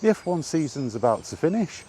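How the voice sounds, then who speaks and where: A man's voice: a little high, very animated, fairly quick, dark tone. Exasperated British man over outdoor noise. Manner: exasperated, sweary, repetitive rhetorical questions. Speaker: gaming vlogger. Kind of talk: off-the-cuff rant while out walking. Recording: outdoor recording with clear background noise.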